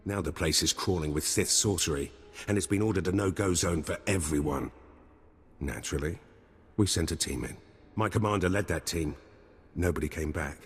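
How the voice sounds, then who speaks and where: Cocky deep-voiced intelligence captain. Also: male voice, moderate pace, slightly rough, long reverb tail. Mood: conspiratorial. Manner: breezy, sardonic, theatrical.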